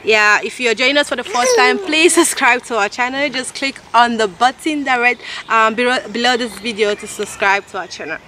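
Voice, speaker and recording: A woman in her thirties from Nigeria with a Nigerian English accent, talking casually to camera in a halting way and often correcting herself. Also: on the high side, sharp tone. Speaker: vlogger. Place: outdoors in a park. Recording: noisy outdoor camera recording.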